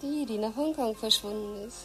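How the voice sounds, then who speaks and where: An actress in a radio drama playing a flirtatious, teasing nurse who talks in an intimate way. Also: female voice, on the high side, normal speed, a bit bright, faint music behind, lightly acted, low-quality recording. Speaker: head nurse.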